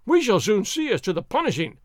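Guy doing a loud sneery sergeant, high and swoopy, full of himself. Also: wildly swooping pitch, brisk, dry recording, clean studio sound. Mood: taunting. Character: blustering boastful soldier sergeant, middle-aged man. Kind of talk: audiobook character reading.

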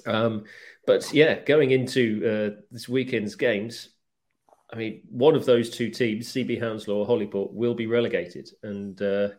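A man hosting a casual football podcast, speaking in a measured way as he steers the chat and sums up results. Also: on the low side, fairly even intonation, darkish tone. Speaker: podcast host.

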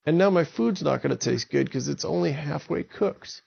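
A man voicing an upset giant who complains in a high, plaintive way. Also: normal speed, vocal fry, darkish tone, husky, full character voice. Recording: dull lo-fi recording.

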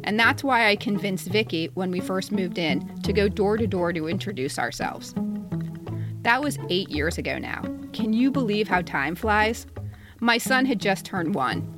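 A woman's voice: mid-range voice, animated, moderate pace, over music. A woman in her fifties reading a personal satirical letter aloud in a wry, mock-polite way, as a podcast co-host. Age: 50s.

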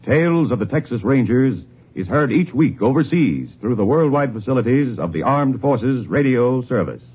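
A male network announcer reading scripted promotions in a lively, persuasive way. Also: medium pitch, moderate pace, faint music behind. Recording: tinny old radio broadcast with hiss.

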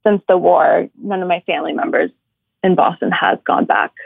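A woman in her late twenties from Syria, speaking softly and hesitantly as a guest, recorded over a phone line. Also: lowish voice, steady tone, normal speed, gravelly, breathy edge, dry recording. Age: late 20s. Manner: soft-spoken, hesitant, many ums. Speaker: guest caller. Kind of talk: casual interview chat.

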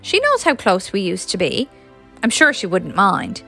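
A woman's voice: mid-range voice, huge pitch swings, normal speed, gravelly, bright, forceful tone, soft background music, heavily acted. Woman reading as a shy flustered young guy, hesitant, trying to act casual, story dialogue. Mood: eager, reassuring. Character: bashful, flustered young man, fond old friend.

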